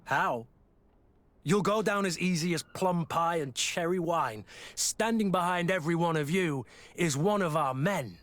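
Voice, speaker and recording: A man's voice: a little high, unhurried, fairly bright tone, no echo. An actor playing a stern, honourable mercenary soldier in a video game, blunt and clipped in his delivery. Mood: grim menace. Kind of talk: dramatic video game acting.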